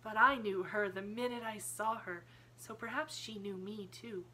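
A woman's voice: mid-range voice, normal speed, bright, forceful tone, a little hiss or hum, pitched above the performer's own voice, natural voice, low-quality recording. A woman voicing an earnest, imaginative seven-year-old girl in an audiobook, thoughtful, precise and eager. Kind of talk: audiobook character voice.

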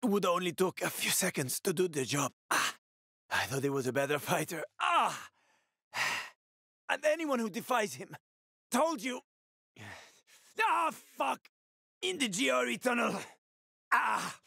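A man's voice: sits high, sing-song, unhurried, creaky, long pauses, bright, forceful tone, husky, dry recording, studio quality, full character voice. An actor playing a roguish, wisecracking male survivor in a video game, talking in a casual, teasing, sweary way. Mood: desperate, pained.

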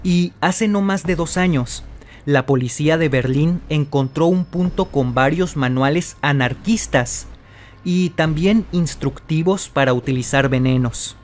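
A man's voice: a little high, unhurried, slight background noise. A man narrating a mystery video from a script, speaking straight to the viewer in a dramatic tone. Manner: dramatic, addresses the viewer directly. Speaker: channel host narrator. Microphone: voice-over.

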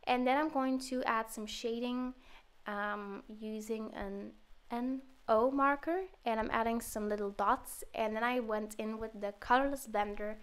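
A woman narrating a card-making tutorial in a relaxed, step-by-step way, with a slightly sore throat from a cold. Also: a little high, unhurried, smooth. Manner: relaxed step-by-step explaining with fillers. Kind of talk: voice-over craft tutorial.

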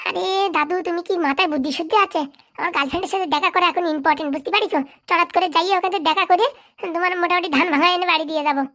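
Female voice actor as a scheming, fast-talking village boy for a comedy cartoon; very bright, pushy tone. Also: very high voice, steady tone, heavily acted. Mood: wheedling. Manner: bossy, fast-talking, wheedling. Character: cocky scheming village boy, bossy hustler. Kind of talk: comic cartoon voice acting.

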